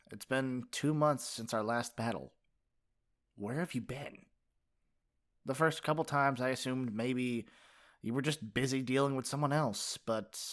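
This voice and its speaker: A man's voice: mid-range voice, close to an everyday voice. A man acting out a theatrical, sarcastic supervillain. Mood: concerned, exasperated. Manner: pompous mock-grand monologuing that slips into flustered sarcasm. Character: theatrical gloating male supervillain, exasperated, secretly caring nemesis. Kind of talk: ASMR roleplay acting.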